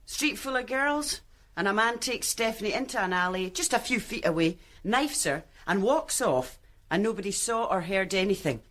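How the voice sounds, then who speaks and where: An actress playing a calm female police detective, questioning a witness in a measured, probing way. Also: mid-range voice, natural voice. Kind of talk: radio drama acting.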